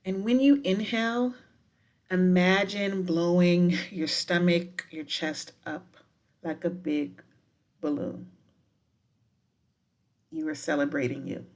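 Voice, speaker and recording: A woman guiding a meditation in a deliberate, unhurried manner with frequent pauses. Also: on the low side. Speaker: professor guiding a breathing meditation. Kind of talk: guided meditation with spoken poetry.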